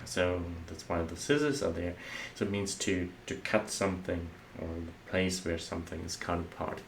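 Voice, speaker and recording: German guy with a low voice walking thru slides, lots of "well" and "uh", bit of hiss behind. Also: normal speed, gravelly. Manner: loose and conversational, many "well" and "uh" fillers. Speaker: software architect presenting. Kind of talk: explanatory slide talk.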